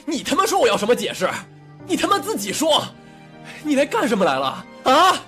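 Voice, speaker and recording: A man's voice: very high voice, big pitch swings, a little fast, pushed and bright, full character voice. A man acting a foul-mouthed, hot-tempered younger brother in an audio drama, confrontational and emotionally raw. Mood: furious, hurt. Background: background music.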